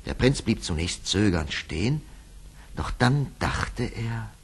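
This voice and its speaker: A man narrating a scripted fairy tale as storyteller, measured and expressive, sometimes hushed, with a husky voice. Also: on the low side.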